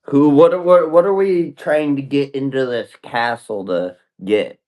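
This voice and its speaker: A man chatting casually and dictating a story prompt, halting as he thinks aloud. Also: mid-range voice, a little mellow.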